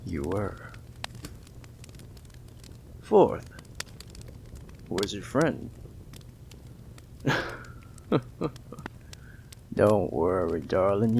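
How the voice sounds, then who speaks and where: A man playing a gravelly, whispering mob boss. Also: fairly low pitch, very animated, warm tone, a little hiss or hum, heavily acted. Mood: menacing calm. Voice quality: gravelly, often dropping to a whisper. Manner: slow, taunting, coldly affectionate. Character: possessive menacing male mob boss, young adult. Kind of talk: ASMR audio roleplay.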